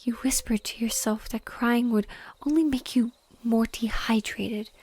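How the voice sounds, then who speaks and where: Whispery, slow, sorrowful banshee played by a woman. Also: a little high, fairly even intonation, darkish tone. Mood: mournful. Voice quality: breathy, whispery. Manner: slow, tender, mournful. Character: sorrowful female banshee, gentle and lovingly devoted. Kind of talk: whispered intimate audio roleplay.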